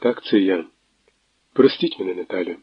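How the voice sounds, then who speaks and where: A man's voice: on the low side, expressive, normal speed, creaky, slightly rough, dry recording, highs cut off, exaggerated voice. A man voicing a weary, apologetic male prosecutor in an audiobook reading. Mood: subdued. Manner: subdued, apologetic. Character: weary, guilt-ridden middle-aged male prosecutor.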